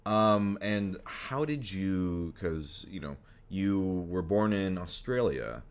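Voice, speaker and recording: A young man with a very low, deep voice hosts a relaxed interview. He talks in halting bursts, full of restarts and fillers, as he gathers his thoughts. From United States. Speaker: host, voice actor. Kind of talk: casual interview chat.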